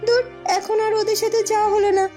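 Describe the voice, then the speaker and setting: A woman's voice: extremely high pitch, little pitch movement, quick pace, mellow. A woman voicing a loyal, suspicious young palace maidservant in a fairy-tale cartoon. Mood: flustered. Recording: music behind the voice.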